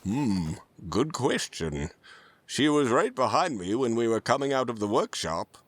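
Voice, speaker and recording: A man playing a kindly, forgiving old Santa Claus in an audio drama, speaking in a measured, formal, avuncular way. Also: mid-range voice, big pitch swings. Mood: amused, musing.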